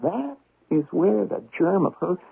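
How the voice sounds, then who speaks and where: An actor playing an impulsive, stubborn investigator, agitated and insistent in a theatrical radio drama style. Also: male voice, high voice, lively intonation, unhurried, vocal fry, breathy edge, exaggerated voice. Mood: insistent, heated. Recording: dull 1970s radio drama recording.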